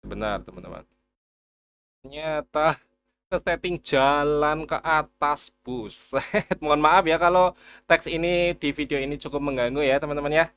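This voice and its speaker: A man's voice: a little high, unhurried, a bit bright. A man giving a casual, reassuring screen-share tutorial and addressing viewers as friends. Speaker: education YouTuber explaining teacher data portal.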